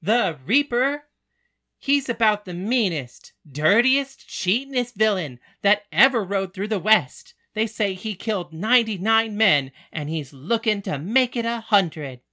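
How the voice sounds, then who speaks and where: A woman's voice: medium pitch, animated, unhurried, bright, forceful tone. A woman voicing an eager young girl who plays a boy newspaper seller in a stage play, proclaiming her lines. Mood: admiring, dramatic.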